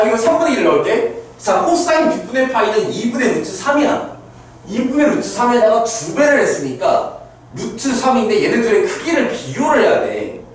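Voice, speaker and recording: A man around thirty teaching math to high school students in a classroom, talking them through each step and checking whether they understood. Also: slight background noise. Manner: talks students through steps, asking "understood?" after each point. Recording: very echoey classroom, mic far off.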